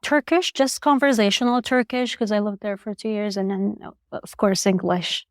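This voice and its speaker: A woman's voice: mid-range voice, steady tone, normal speed, dry recording, clean studio sound. A young woman from Iraq whose first language is Chaldean, a public health researcher talking reflectively in an interview. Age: young adult. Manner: soft-spoken, reflective, frequent "like" and restarts. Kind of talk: interview conversation.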